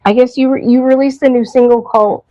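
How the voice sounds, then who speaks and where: A woman's voice: a little high, steady tone, fast, dark tone, dry recording. A woman hosting a casual music interview, speaking with a hesitant manner full of fillers. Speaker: podcast host. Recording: dull lo-fi sound.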